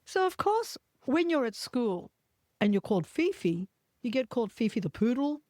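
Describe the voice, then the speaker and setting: A middle-aged Australian woman hosting a podcast, telling family stories in a chatty, animated way and acting out quoted voices. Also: a little high, moderate pace, dry recording, clean studio sound. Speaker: podcast host. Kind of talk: casual storytelling chat.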